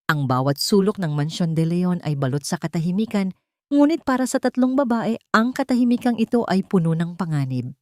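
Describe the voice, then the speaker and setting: Low-voiced woman narrating a dramatic story. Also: lively intonation, a little fast, a little mellow, dry recording, clean studio sound. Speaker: audiobook narrator.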